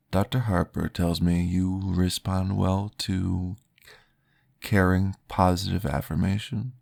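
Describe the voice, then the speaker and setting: A man voicing a calm, formal male vampire therapist in an ASMR roleplay, speaking in a measured, reassuring way. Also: sits low, creaky, heavily acted. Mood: coaxing.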